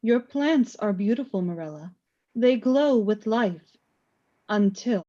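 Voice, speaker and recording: Death as a female spirit in a radio drama. She is calm, knowing and taunting, with solemn, measured, theatrical delivery. The sound is slightly dull. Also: medium pitch, background noise. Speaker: voice actor. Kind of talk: radio play acting.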